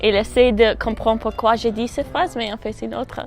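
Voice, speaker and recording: A woman's voice: fairly high pitch, steady tone, normal speed, faint music behind. A woman who is not a native French speaker, chatting casually about how people understand her in an interview.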